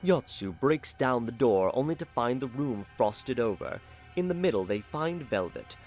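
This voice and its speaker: A man's voice: mid-range voice, animated, normal speed, darkish tone, soft background music. A man narrating a fan audio drama in a theatrical, playful style with wry asides.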